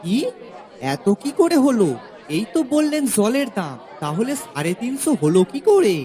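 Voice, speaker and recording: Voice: medium pitch, sing-song, normal speed, warm tone, some chatter behind, close to an everyday voice. Someone playing a swoopy-voiced rustic Bengali servant. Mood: startled, haggling. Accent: rural Bengali. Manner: naive, talkative, exclaiming, rustic speech. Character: simple, chatty, cheerful village manservant. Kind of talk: animated family comedy-drama acting.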